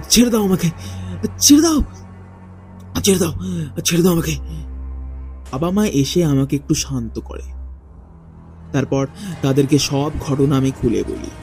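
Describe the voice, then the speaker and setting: Guy telling a ghost story real slow, dark mellow voice, dramatic pauses, soft music under it. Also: mid-range voice. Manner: suspenseful, deliberate, with dramatic pauses. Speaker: horror story narrator. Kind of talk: dramatic first-person ghost story narration. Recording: faint music behind the voice. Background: faint background music.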